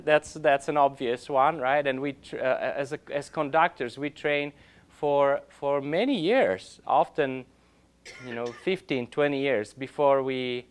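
A man in his thirties, an orchestra conductor, giving an informal lecture in a classroom, halting and restarting often but growing animated, recorded by a camera across the room. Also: fairly high pitch. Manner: halting, many restarts and fillers, grows animated. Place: classroom with projector.

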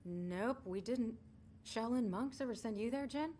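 An actress playing a dry, challenging young soldier in a video game cutscene. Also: female voice, on the high side, a little slow, warm tone, slight background noise, natural voice. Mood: dry, pointed. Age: young adult.

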